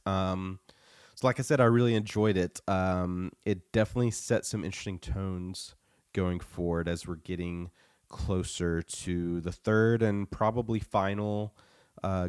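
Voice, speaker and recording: A man's voice: low-pitched, a little mellow, clear, resonant tone, no room sound, clean studio sound. A man giving a casual podcast review while getting over the flu.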